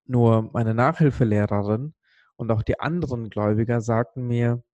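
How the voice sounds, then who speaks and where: A man delivers an earnest motivational monologue in a mellow, relaxed voice at a middling pitch. He leans on key words and pauses to let them land. The sound is dry and close, as on a podcast. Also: no room sound, highs cut off. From Sri Lanka. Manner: earnest, emphatic, pausing for weight. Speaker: speaker on a business podcast. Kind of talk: motivational monologue with personal story.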